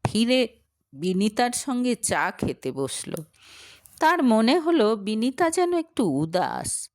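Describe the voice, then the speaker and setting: A woman's voice: fairly high pitch, big pitch swings, takes it slowly, no echo, clean studio sound. A woman reading a scripted story as a narrator, in a dramatic storytelling style.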